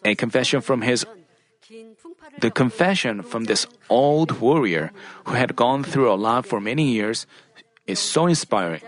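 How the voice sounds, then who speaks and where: A preacher delivers a sermon in a voice of ordinary, middle pitch. The pace is deliberate and measured, and the speaker often stops to restate or correct a phrase before going on. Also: animated.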